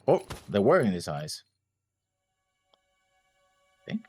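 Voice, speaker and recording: A man co-hosting a reaction show, chatting casually in a husky voice. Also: fairly low pitch, wildly swooping pitch, takes it slowly, soft background music.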